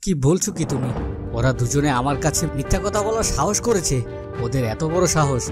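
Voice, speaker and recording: Loud music runs under a man acting as a fairy-tale cartoon king. His voice sits a little high for a man, and he speaks in a dramatic, halting way, full of wrath and anguish. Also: expressive, lightly acted. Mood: outraged. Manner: dramatic, halting with repeated words.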